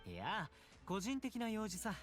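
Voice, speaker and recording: A man's voice: sits high, wildly swooping pitch, a little fast, loud music behind the voice. An actor voicing a breezy, confident young male warrior in a video game. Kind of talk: Japanese video game voice acting.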